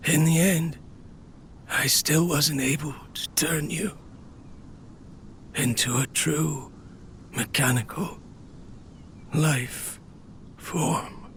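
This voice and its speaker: A weary, lonely inventor talks to his machine in a worn, melancholy tone, pitched a touch high for a man. A faint ambient hum sits behind the game recording. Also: fairly even intonation, drawn-out words, vocal fry, long pauses, a bit bright, heavily acted. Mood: defeated. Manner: tired, melancholy monologue. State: ill, coughing, weakening. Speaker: game character.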